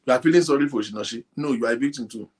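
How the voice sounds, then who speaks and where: Soft-spoken husky man hosting. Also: medium pitch, racing. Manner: speaks softly, animated, addresses viewers directly. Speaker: online show host. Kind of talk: casual talk to a live audience. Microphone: phone linked to a computer.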